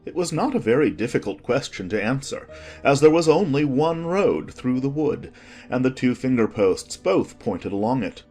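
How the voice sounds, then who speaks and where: A narrator reading a children's storybook in an expressive, scripted storytelling manner. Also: mid-range voice, normal speed, breathy edge, soft background music. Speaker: audiobook narrator.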